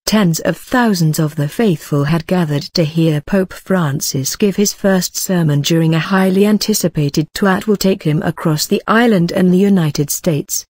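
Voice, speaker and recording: A voice reading a news article aloud in an even, uniform way. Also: mid-range voice, no echo, clean studio sound. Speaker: news reader.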